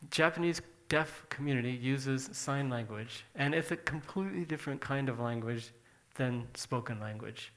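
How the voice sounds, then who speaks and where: A man's voice: medium pitch, normal speed, a bit bright. A man giving a casual, conversational presentation with slides to an audience. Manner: plain conversational explaining.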